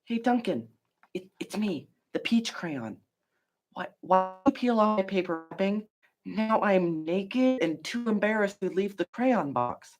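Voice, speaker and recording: Man voicing a high, timid, embarrassed little crayon. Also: lively intonation, measured pace, soft background music. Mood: embarrassed pleading. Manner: hesitant, stammering, pleading. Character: peach crayon, embarrassed, naked, pleading little character. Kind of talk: children's picture book read-aloud.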